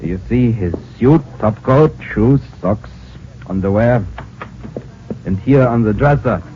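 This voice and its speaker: An actor playing a formal, deliberate German émigré professor with a German accent in an old-time radio drama. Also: male voice, fairly low pitch, expressive. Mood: matter-of-fact. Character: German émigré male scientist, measured and secretive professor. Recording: tinny, noisy old radio recording.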